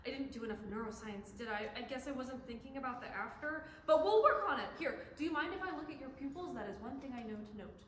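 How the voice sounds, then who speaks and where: A roomy, echoing recording of an actress as an eager young woman scientist in a stage play. Her high voice is excitable and nerdy, rambling on with anxious reassurance. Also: quick pace, hardly any pauses. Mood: rambling, reassuring. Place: indoor staged reading in an echoey room. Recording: echoey room with faint noise.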